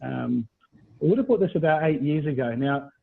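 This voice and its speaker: Middle-aged Australian male presenter, husky, conversational sales delivery on a thin phone-like call. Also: on the high side, lively intonation, normal speed. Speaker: property buyers agent presenting. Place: indoors on a video call. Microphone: video call.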